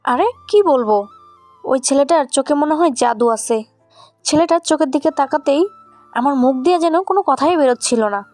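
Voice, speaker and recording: Woman playing a high-voiced, feisty schoolgirl. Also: expressive, moderate pace, darkish tone, no room sound, soft background music. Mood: smitten, confiding. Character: feisty schoolgirl, stern and scolding, quick to soften. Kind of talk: audio story dramatization.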